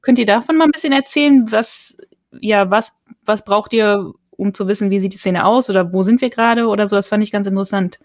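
A woman's voice: mid-range voice, a little fast, dry recording. Woman, podcast host, curious, searching for words, dry recording. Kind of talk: casual interview chat.

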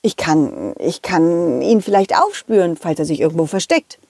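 A woman voicing an eager, loyal talking dog in a children's audio story, excitedly repeating words. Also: on the low side, normal speed, husky, dry recording, studio quality. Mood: eager helpful. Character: eager, loyal, confident talking puppet dog, detective helper.

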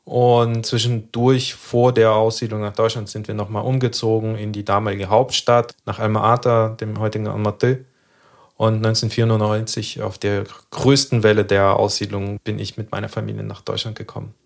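Brief: male podcast co-host, 30s, from Kazakhstan; measured explainer, frequent pauses. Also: on the low side, level tone, creaky. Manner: measured, explanatory, many hesitation fillers.

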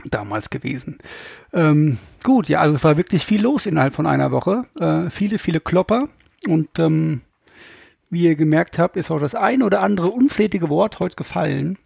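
Man, podcast host, heavily creaky and husky, casual chat, colloquial wording. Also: a little high, expressive, moderate pace, a little mellow, no echo. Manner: colloquial, informal.

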